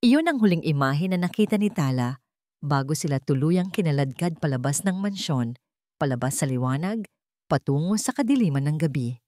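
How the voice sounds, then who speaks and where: A woman narrating a scripted story in a measured, dramatic way. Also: sits low, mellow, no room sound, studio quality.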